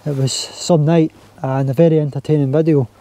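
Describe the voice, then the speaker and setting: A Scottish man talking casually to his camera while camping on a hilltop, relaxed and chatty. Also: fairly high pitch, fairly quick, no room sound. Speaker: outdoor vlogger. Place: outdoors on a windy hilltop campsite. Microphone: handheld camera or mini tripod.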